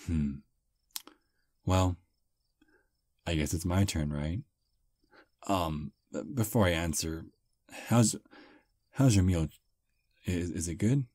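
A man voicing a friendly 215-year-old male vampire on a first date in an ASMR roleplay, soft-spoken and slightly halting. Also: low-pitched, no echo. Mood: easygoing.

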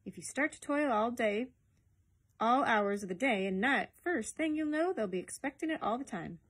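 Older male dogcatcher played by a woman, storybook official, stern, blustering, husky. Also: on the high side, lively intonation, normal speed, pitched above the performer's own voice. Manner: pompous, emphatic, scolding.

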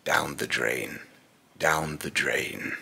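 A man's voice: a bass voice, sharp tone, heavily acted. A young man playing a menacing, scornful godlike villain in pompous, theatrical monologue, recorded in a small room at home. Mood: grave, contemptuous. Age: young adult. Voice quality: gravelly, raspy. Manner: slow, pompous, theatrical delivery. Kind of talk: dramatic villain monologue, rehearsed voice lines.

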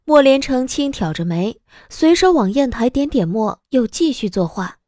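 Woman, story narrator, expressive, high voice, very varied intonation, scripted audio drama. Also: normal speed, no room sound, studio quality.

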